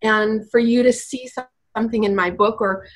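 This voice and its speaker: Earnest, animated woman guest author. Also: on the high side, moderate pace. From Vietnam. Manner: earnest, animated, heavy use of "like". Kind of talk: casual interview talk.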